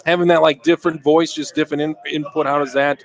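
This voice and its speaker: A man's voice: fairly high pitch, flat delivery, quick pace. A man hosting a sports radio show, asking conversational, leading questions.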